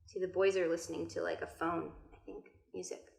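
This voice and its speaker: A woman teacher reading a children's picture book aloud expressively, pausing to explain things to young listeners. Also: lowish voice, flat delivery, creaky, darkish tone, roomy sound. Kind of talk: animated children's storybook read-aloud with asides.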